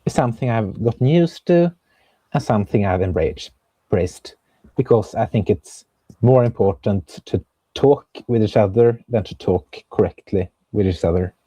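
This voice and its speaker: A young man with a Norwegian accent, a composer talking as a guest in a thoughtful, unhurried conversation, pausing often to find his words. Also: lowish voice, expressive, mellow, no room sound, low-quality recording. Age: young adult. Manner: thoughtful, searching for words with many pauses and fillers. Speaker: composer and viola player, guest. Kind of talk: casual conversation.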